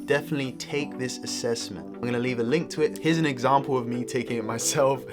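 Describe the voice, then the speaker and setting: Relaxed podcast host, faint music behind. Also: male voice, mid-range voice, fast. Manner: relaxed, laughs often, repeats words when thinking. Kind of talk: casual podcast interview.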